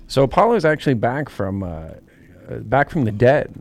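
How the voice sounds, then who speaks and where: A man's voice: mid-range voice, expressive, brisk, a little mellow. A man co-hosting a casual podcast, setting up the topic earnestly and running through numbers. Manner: earnest, leading the topic with stats. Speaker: podcast co-host. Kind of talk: casual political podcast chat.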